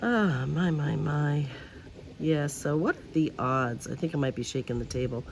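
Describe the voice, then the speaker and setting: A woman hosting a crafting video outdoors. She talks in a quiet, subdued way, drifts into mutters and whispers as she works, and her voice is lower and deeper than usual. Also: moderate pace, slight background noise, low-quality recording. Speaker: host of a paper craft channel. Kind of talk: casual crafting chat while working. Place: outdoors, in a breeze.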